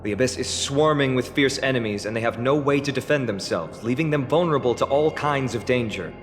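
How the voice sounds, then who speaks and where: A courteous young fantasy game hero, played by a man, speaks in a medium male pitch. He is composed and sincere, his words carrying long reverb over faint music. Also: fairly quick, lightly acted. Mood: earnest, resolute. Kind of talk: dramatic video game voice acting.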